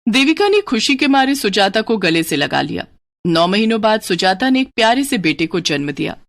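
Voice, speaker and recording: A woman's voice: medium pitch, very animated, normal speed, no room sound. A woman narrating a scripted moral cartoon story in a dramatic storytelling style.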